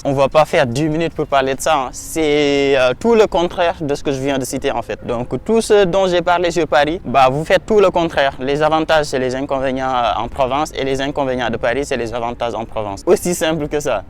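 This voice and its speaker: A man's voice: on the high side, slight background noise. A young man chatting casually in a vlog, slangy and rambling, talking to his viewers as buddies while filming himself outdoors on a handheld camera. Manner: slangy, rambling, talks to viewers as "les gars". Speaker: vlogger advising students. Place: outdoors by a waterfront landmark at sunset.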